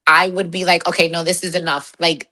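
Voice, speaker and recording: A woman with a naturally raspy voice, a fitness competitor talking bluntly in a casual interview and laughing often. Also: lowish voice, flat delivery, fairly quick, sharp tone.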